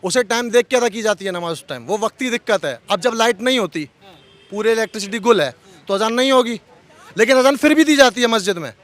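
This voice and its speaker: A man's voice: high-pitched, expressive, fast talker, fairly bright tone. A man being interviewed outdoors in a heated street debate, arguing with repeated phrases and rhetorical questions.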